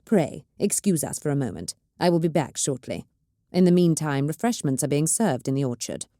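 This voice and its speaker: A measured planetary governor speaks with smooth courtesy that carries a veiled threat. A woman reads the part in a low, dark register. The sound is dry and close. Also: sing-song, no echo.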